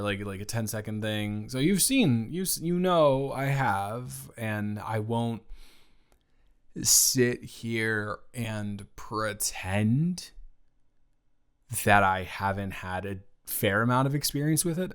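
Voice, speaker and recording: A man playing a teasing, supportive male roommate in an improvised romantic audio roleplay, talking casually and stammering, with animated outbursts. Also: lowish voice, clean tone. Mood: casual, curious. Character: male roommate and friend, teasing, supportive, indignant on her behalf.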